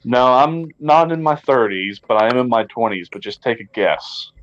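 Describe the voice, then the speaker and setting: A man's voice: medium pitch, lively intonation, moderate pace, muffled recording. A man, hosting a casual chat and talking in an easygoing, hesitant way. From Tennessee. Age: 20s.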